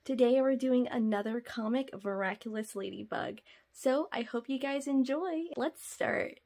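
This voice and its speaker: Upbeat young woman with a high voice. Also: moderate pace, vocal fry, darkish tone. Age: young adult. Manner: upbeat, friendly address to viewers. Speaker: channel host. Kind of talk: casual video intro and outro. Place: bedroom.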